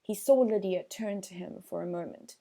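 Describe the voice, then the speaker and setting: A woman's voice: medium pitch, normal speed, gravelly, dark tone. A woman narrating literary fiction as an audiobook reader, following a scripted text.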